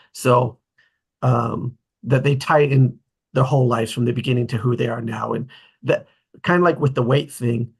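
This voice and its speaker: A man with a somewhat husky voice talks casually in a group discussion. His pitch is middling, and he explains things haltingly, often interrupting himself to pick up the thread.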